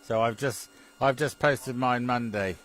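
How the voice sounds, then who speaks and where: A man's voice: medium pitch, unhurried, fairly bright tone. A British man, a radio hobbyist, chatting casually about technical matters in a hesitant, chuckling way and often repeating his phrases. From Britain. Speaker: amateur radio enthusiast.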